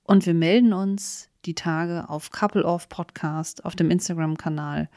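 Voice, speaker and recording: Female co-host, lowish register, relaxed conversational podcast delivery, dry recording. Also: unhurried, no echo. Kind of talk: casual chat.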